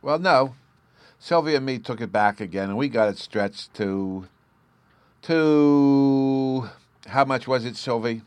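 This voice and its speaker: A man's voice: medium pitch, slow pace, pauses often, a little mellow, clean tone, no echo, voice pushed up, close to an everyday voice. A man voicing an eager, stubborn little fairy boy with a babyish lisp, in an audiobook. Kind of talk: audiobook character voice.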